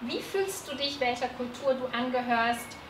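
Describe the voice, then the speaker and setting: A woman's voice: high-pitched, lively intonation, moderate pace. A woman moderating a live panel discussion, hesitant with many fillers. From Turkey. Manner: hesitant, many fillers, laughs at own slips. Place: seminar room with a panel. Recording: echoey room, background noise.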